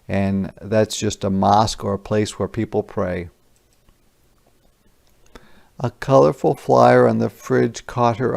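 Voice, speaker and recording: A man reading a picture book aloud as a teacher, pausing to explain words simply in a deliberate storytelling manner. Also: lowish voice, gravelly, darkish tone. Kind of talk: interactive children's read-aloud with explanations.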